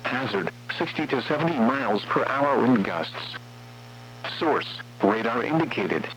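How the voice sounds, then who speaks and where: A synthetic male voice reading scripted weather warning bulletins in a formal, flat manner as an automated radio announcer. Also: mid-range voice, unhurried. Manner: formal, flat synthetic text-to-speech delivery. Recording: muffled, noisy weather radio with hum.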